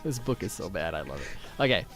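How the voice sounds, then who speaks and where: A man's voice: deep voice, very animated, fairly quick, over music. A man hosting a light-hearted quiz interview in a jokey, conversational way. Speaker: podcast host.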